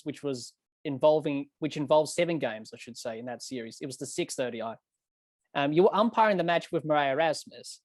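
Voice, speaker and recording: A man's voice: a little high, no room sound. A man hosts a podcast and works through prepared questions. He is earnest and slightly formal, and he sometimes corrects himself mid-sentence. The recording is close and very dry. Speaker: podcast host. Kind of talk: interviewing, reading prepared questions.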